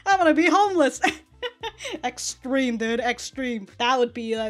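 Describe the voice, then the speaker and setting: A woman giving a casual, animated episode review as a reaction host, with slangy, sweary talk. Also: high voice.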